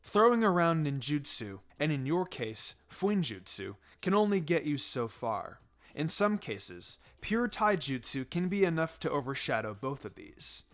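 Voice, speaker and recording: A man voicing a calm, wry ninja teacher in a story reading, measured, firm but encouraging. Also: a little high, pitched below the performer's own voice, exaggerated voice. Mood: instructive, wry. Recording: music behind the voice.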